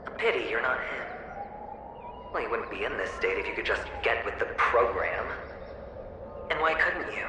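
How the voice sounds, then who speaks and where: A man's voice: medium pitch, animated, moderate pace, long pauses, natural voice. Man acting a taunting, echoing theatrical tormentor. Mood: mocking. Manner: mocking, insinuating, theatrical, with breathy drops. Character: taunting, theatrical male inner tormentor needling a gambler. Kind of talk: dramatic video game voice acting. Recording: very echoey, loud music behind.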